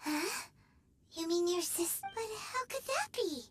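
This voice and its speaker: A woman voicing a small, naive, excitable childlike fairy companion in a video game, with a squeaky, whiny delivery. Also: takes it slowly, heavily acted. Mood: confused, surprised. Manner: squeaky, whiny, speaks of herself in third person. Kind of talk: video game character dialogue.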